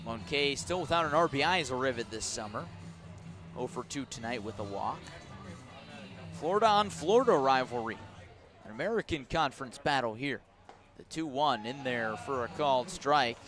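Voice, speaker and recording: A man doing live radio play-by-play of a baseball game, giving measured calls mixed with explanatory asides and dry understatement. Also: medium pitch, expressive, over music. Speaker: baseball play-by-play announcer for a team's radio broadcast. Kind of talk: live radio sports commentary. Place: outdoor ballpark at night.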